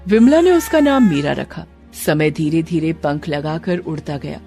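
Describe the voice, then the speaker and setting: A woman's voice: low-pitched, expressive, moderate pace, mellow, soft background music. A woman narrator delivering dramatic, scripted storytelling for a cartoon.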